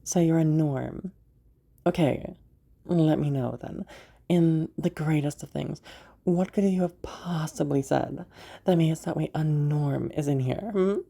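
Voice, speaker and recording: Casting: woman to play amused, flirty-teasing fantasy sorceress for roleplay audio, low-pitched, gravelly edge. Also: warm tone, full character voice. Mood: mocking, amused. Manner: playful, giggly, teasing, hesitant pauses. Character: teasing, amused magical woman freeing shackled prisoners.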